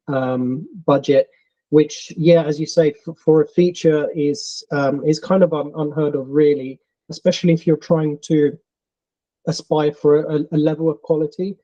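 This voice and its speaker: A man's voice: on the high side, little pitch movement, moderate pace, mellow. Hesitant London-accented man on a dull call. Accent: London English. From England. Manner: hesitant, many ums and restarts. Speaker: filmmaker guest. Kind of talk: casual interview answers.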